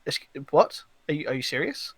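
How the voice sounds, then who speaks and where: A man on a podcast panel, chatting casually and telling gaming anecdotes in an informal, halting way. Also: fairly high pitch, big pitch swings, creaky, breathy edge, dry recording.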